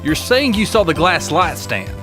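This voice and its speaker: A man's voice: high-pitched, sing-song, moderate pace. A man playing a determined young defense lawyer in a video game dub, pressing and confrontational in manner. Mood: confident, pressing. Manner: assertive, pressing. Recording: music under the voice.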